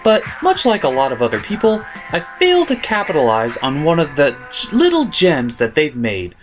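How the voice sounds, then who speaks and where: A man's voice: a little high, huge pitch swings, moderate pace, music in the background. A man hosting a scripted comedic review, ranting in a theatrical, emphatic manner. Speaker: host and reviewer.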